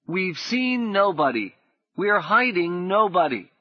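A man's voice: sing-song, slow, no room sound, muffled recording, heavily acted. A man voicing a scrappy young boy who talks in cocky slang, bold but frightened. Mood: defiant. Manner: high, cocky slang-tinged talk.

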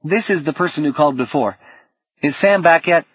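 A man's voice: on the high side, brisk, breathy edge, no echo, highs cut off, voice pushed up. A man playing an earnest, insistent teenage boy in an audiobook, with an urgent, emphatic delivery. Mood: polite, urgent.

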